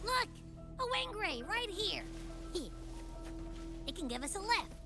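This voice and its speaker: An actress playing a small, childlike, excitable female companion in a fantasy video game, with a youthful, informal and expressive delivery. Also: extremely high pitch, takes it slowly, heavily acted. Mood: excited. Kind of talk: scripted video game story dialogue. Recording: loud game music over the voice.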